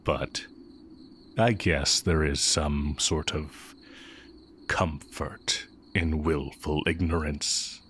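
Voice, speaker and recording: A man playing an exiled heretic dark-magic teacher in an intimate audio roleplay, wry and condescending yet fond of the listener. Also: very deep voice, steady tone, very slow, a little hiss or hum, full character voice. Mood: wry, disdainful.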